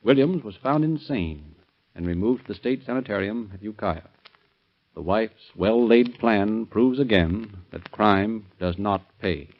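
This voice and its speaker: An American man narrating formally in 1930s radio style, measured and declamatory. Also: fairly low pitch, expressive, slight background noise. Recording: dull vintage radio recording with music.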